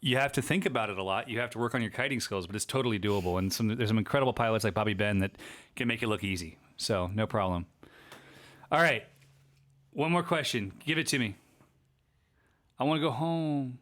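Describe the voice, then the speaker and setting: A man's voice: lowish voice, a little fast, a bit bright. A man hosting a casual livestream Q&A, chatty and upbeat, rambling a little and losing his voice. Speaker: livestream host and shop owner.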